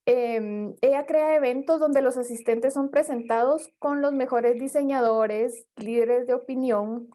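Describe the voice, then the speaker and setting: A female co-host speaks over a video call in a fairly high, mellow voice. She reads a speaker's introduction with steady, announcer-like pacing. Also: unhurried, hardly any pauses. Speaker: event co-host.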